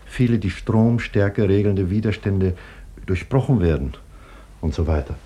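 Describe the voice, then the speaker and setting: A sober male scientist tells of a tragedy in a measured, explanatory way. His voice sits on the low side with a husky edge. It is 1950s radio drama narration, grave and deliberate. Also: animated, mellow, heavily acted. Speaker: scientist and doctor narrating his own story.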